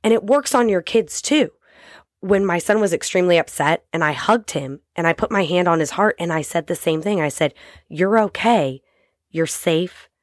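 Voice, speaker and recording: A woman in her thirties hosting a short motivational podcast, hoarse and raspy from a cold, talking straight to her listeners in an encouraging way. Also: on the low side, dry recording, clean studio sound. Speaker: podcast host.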